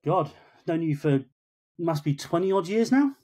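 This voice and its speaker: Man, 40s, from England, husky, fairly high voice, laid-back podcast guest. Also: lively intonation, unhurried, dark tone. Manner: laid-back storyteller, easy laughs. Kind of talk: casual podcast chat.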